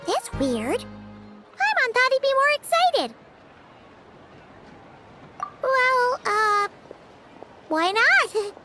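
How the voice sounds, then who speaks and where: A tiny, perky fairy sidekick from a video game, extremely high and squeaky. She chatters cheekily like a small child, with lively swoops in pitch. Loud music plays behind her. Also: extremely slow, pauses often, exaggerated voice. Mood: puzzled. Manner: perky, speaks of self in third person.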